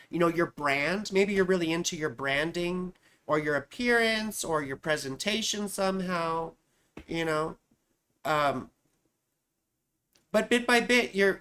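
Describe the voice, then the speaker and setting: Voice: mid-range voice, pushed and bright. A chatty astrologer hosting a live stream, giving casual chart readings, talking straight to viewers and often repeating phrases. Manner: chatty, repeats her own phrases, addresses viewers directly.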